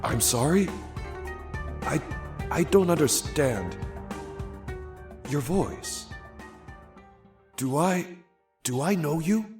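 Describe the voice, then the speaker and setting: A man's voice: a little high, expressive, darkish tone, soft background music. A man playing an injured, confused hospital patient with a volatile temper in an audio drama. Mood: dazed, confused. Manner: halting when weak, explosive when angry. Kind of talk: dramatic audio fiction acting.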